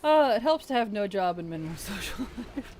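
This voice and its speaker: A streamer talking over gameplay at a middling pitch, voice frayed and weak after a sleepless night. Soft-spoken and easygoing, reading chat aloud and muttering to self between lines, with a faint hiss behind. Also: big pitch swings, a little fast. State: weak, strained voice. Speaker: game streamer. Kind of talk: casual live-stream chat while playing.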